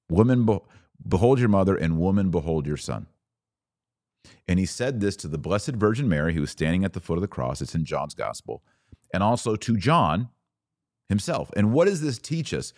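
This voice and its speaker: A man's voice: low-pitched, a little mellow, no echo, clean studio sound. A man hosting a religious podcast, explaining scripture in a didactic, emphatic way, drawing out key words and posing rhetorical questions. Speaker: podcast host.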